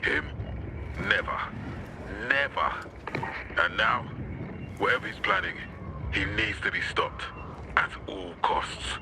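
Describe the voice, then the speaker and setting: An actor playing a commanding, theatrical military leader in a video game, emphatic and grandiose. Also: male voice, lowish voice, expressive, takes it slowly, vocal fry, long pauses, very noisy, exaggerated voice. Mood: urgent, commanding. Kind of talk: cinematic game dialogue.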